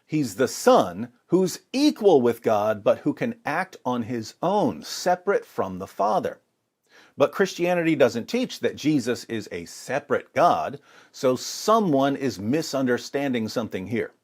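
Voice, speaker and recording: A man's voice: medium pitch, sing-song, a little slow, a little mellow. A man presenting scripted religious commentary and scripture readings in a dramatic, rhetorical manner. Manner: dramatic, rhetorical, sarcastic mock alarm. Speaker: apologetics presenter.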